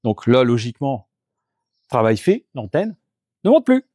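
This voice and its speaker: A man at a middle pitch walks room to room through a house, calmly explaining each test as he carries it out. The sound is dry and close, with hardly any reverb. His delivery is casual and explanatory. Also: a little slow. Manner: step-by-step, explanatory, talks while working. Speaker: geobiologist doing an energy clearing. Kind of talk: on-the-spot demonstration with explanation. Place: indoors in a house, moving between rooms.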